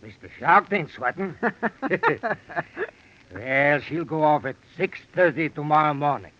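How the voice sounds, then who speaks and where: An actor playing a veteran crooked bomb-maker in a vintage radio drama, slangy, casual and needling. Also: male voice, on the high side, expressive, unhurried, noisy background. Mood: cool, taunting. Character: old hand bomb-making mechanic, taunting, casual crook. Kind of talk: 1940s radio drama acting. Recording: dull lo-fi old radio recording.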